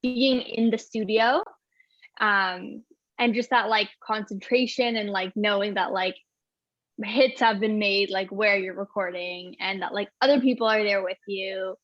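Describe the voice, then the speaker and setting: A woman's voice: mid-range voice, steady tone, a little slow, fairly bright tone, dry recording. A singer-songwriter in her twenties chatting casually in an interview, bubbly, laughing often and saying "like" all the time. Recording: dull, dry, lo-fi sound.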